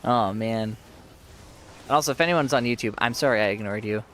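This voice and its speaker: A man's voice: on the low side, brisk, a bit bright, a little hiss or hum. A man streaming a game live, chatting casually with viewers while his sore throat leaves his voice fading. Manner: chatty, reads and answers viewers.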